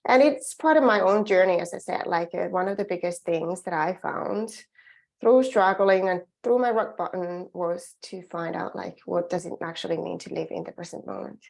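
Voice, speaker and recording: A woman's voice: fairly low pitch, creaky, darkish tone. A middle-aged woman from Finland with a Finnish accent, giving an earnest, encouraging webinar presentation as a well-being coach, often restarting her phrases.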